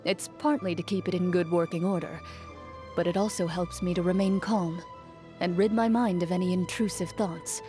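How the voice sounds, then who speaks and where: An actress playing a cool, composed young woman, a champion duelist in a fantasy video game, speaking in a measured, formal and restrained way. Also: fairly low pitch, steady tone, mellow, soft background music, close to an everyday voice. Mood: calm, serious. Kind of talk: scripted video game character voicelines.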